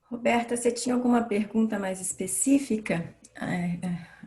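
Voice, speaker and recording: A woman's voice: medium pitch, moderate pace, warm tone. A woman in an online meeting who speaks in a friendly, brief way. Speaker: participant.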